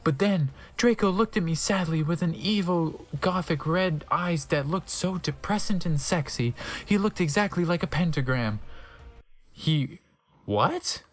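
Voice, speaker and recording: A man's voice: fairly high pitch, measured pace. A man giving a theatrical, exaggerated comedic reading of fan fiction, breaking off now and then to react. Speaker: reader of a comedic dramatic reading.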